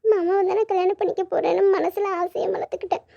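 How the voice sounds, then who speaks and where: A woman's voice: very high-pitched, fairly even intonation, quick pace, vocal fry, mellow. A woman playing a naive, lovelorn young daughter in a family melodrama, plaintive and girlish. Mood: tearful, pleading. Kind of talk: melodramatic family audio drama acting. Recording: dull lo-fi audio.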